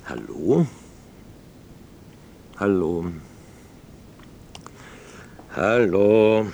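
A man's voice: on the low side, big pitch swings, very slow, vocal fry, darkish tone, slight background noise, full character voice. A male actor playing a flippant, irreverent late-night radio host, loose and prone to interrupting. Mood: teasing, businesslike. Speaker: radio talk show host. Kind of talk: radio drama acting as a casual late-night phone-in host.

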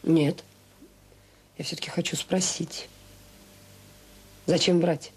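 An actress playing a caring, wry, middle-aged mother in an audio drama, talking in a colloquial, gently teasing, frank way. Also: lowish voice, fairly even intonation, slow, slightly rough, exaggerated voice. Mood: frank, reluctant. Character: caring, wry, tired mother of a grown son. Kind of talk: naturalistic radio drama dialogue. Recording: slightly noisy 1980s audio drama recording.

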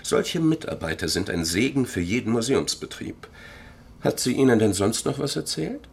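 An actor playing a pompous, wordy museum scholar in a German radio drama, speaking in circumlocutions with self-importance. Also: male voice, mid-range voice, expressive, moderate pace, gravelly, breathy edge. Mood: circumspect, polite.

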